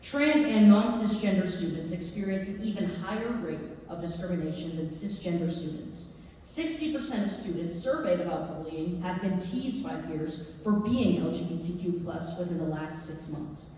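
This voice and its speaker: A woman performing a staged reading as an earnest, urgent activist addressing a school board, speaking emphatically with deliberate pauses. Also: lowish voice, warm tone, natural voice. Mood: grave, measured. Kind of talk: staged reading of a public comment speech. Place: library district theatre stage. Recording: echoey hall, distant mic, faint noise.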